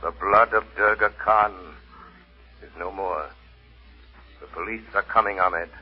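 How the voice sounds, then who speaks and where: Stern, authoritative unseen avenger, low and husky, solemn phrasing, vintage radio mystery. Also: male voice, steady tone, unhurried, soft background music. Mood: commanding. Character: commanding, stern unseen male crime fighter. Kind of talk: 1940s radio drama acting. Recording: dull old radio recording.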